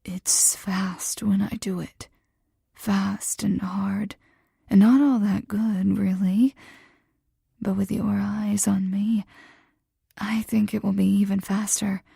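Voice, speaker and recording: Woman doing a hoarse guy in a romance audiobook, whispering low and dark, real dry and close. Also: dry recording, exaggerated voice. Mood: husky, intimate. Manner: whispered, slow and breathy. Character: hoarse aroused adult man, gentle and frank.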